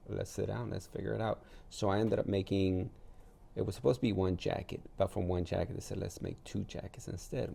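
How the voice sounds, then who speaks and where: Deep, gravelly man telling stories casually. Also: warm tone. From El Salvador. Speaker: interview guest, artist and gallery owner. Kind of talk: casual interview storytelling.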